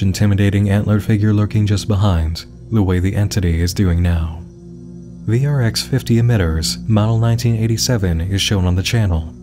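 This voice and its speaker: A man with a fairly low voice delivers scripted narration as the host of an explainer. His delivery is measured and dramatic, like a storyteller's, with music clearly playing behind him.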